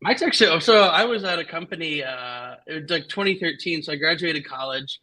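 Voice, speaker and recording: A man in his thirties co-hosts a relaxed tech talk over a video call. He rambles and fills his sentences with little verbal tics. His voice is bright and sits a bit high. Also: brisk, poor audio. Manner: rambling, full of "like" and "you know". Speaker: podcast co-host. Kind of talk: casual chat.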